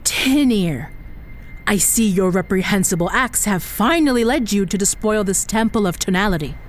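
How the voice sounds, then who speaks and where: A woman's voice: medium pitch, animated, moderate pace, a little hiss or hum, lightly acted. A woman playing a scornful, exasperated costumed superheroine in an audio drama, with grandiose heroic speech that slips into annoyance. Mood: righteous scorn.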